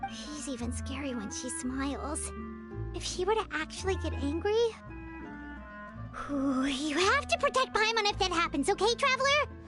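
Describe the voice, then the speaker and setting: Game role: tiny childlike fairy sidekick, extremely high squeaky voice, lively swoops, music behind. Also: female voice, normal speed. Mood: worried. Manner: exclamatory, speaks of self in third person. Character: tiny excitable childlike female fairy companion, nervous and nosy. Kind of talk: animated video game voice acting.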